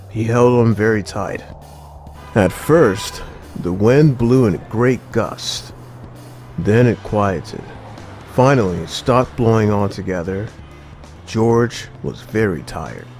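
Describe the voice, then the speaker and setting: Male audiobook narrator, children's storybook style, highly animated pitch, expressive emphasis, music playing behind. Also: takes it slowly, pauses often. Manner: expressive, dramatizing key words.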